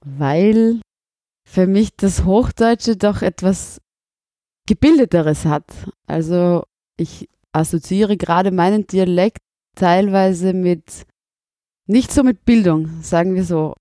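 Austrian woman in her thirties, teacher, measured and candid, dry close mic. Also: fairly low pitch, warm tone, dry recording. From Burgenland, Austria. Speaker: teacher, interviewee. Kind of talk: interview answers.

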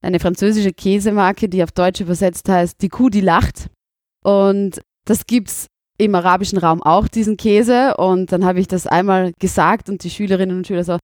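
A woman's voice: mid-range voice, steady tone, normal speed, a little mellow, no echo, clean studio sound. Candid Austrian woman teacher. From Burgenland, Austria. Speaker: teacher, interviewee. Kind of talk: interview answers.

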